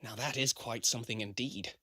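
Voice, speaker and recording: A man's voice: mid-range voice, fairly even intonation, fairly quick, sharp tone, no echo. Man acting a refined young prince, dry sound. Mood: pleased, curious. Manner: refined, formal, easygoing. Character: genial young prince, gracious and curious. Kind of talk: scripted radio drama acting.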